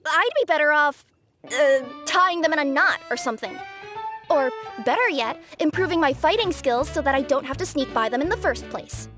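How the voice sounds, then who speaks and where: A woman's voice: sits high, expressive, moderate pace, over music. A woman voicing a perky, chatty young cat-girl courier in a video game, bubbly and emphatic. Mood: grumbling. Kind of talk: English video game voice acting.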